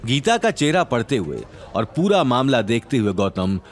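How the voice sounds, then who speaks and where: A man's voice: medium pitch, big pitch swings, moderate pace. A man narrating an audio drama story in a dramatic, scripted storytelling style.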